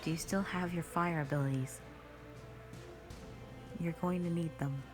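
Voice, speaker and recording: A woman playing a grave, wary elder witch sister in a dramatic audio roleplay, speaking solemnly with some hesitation and hushed warnings. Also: low voice, steady tone, unhurried, over music.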